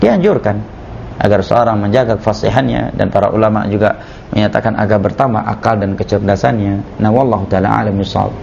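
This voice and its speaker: A man, an Islamic teacher, giving a measured religious study lecture that is punctuated with "ya" and "nah.". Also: fairly low pitch, creaky, a little mellow, a little hiss or hum. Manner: measured, repeats "ya" and "nah" as he explains. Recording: muffled lo-fi lecture recording.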